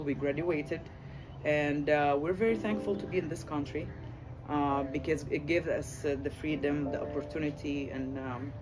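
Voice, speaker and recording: A man from Lebanon whose first language is Arabic, telling his story of migrating in a halting way with many fillers. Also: a little high, rough recording. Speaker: interviewee. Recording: music playing under the voice.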